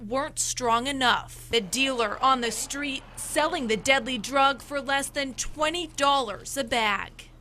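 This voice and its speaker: A woman's voice: high voice, sharp tone, a little hiss or hum. A woman reporter reading scripted television news narration.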